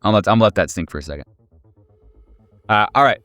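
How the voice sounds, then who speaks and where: A man's voice: on the low side, animated, racing, a bit bright, dry recording. A man acts as game master for a horror tabletop game, with faint music underneath. He narrates off the cuff in a casual way, joking and swearing as he goes. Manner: profane, joking.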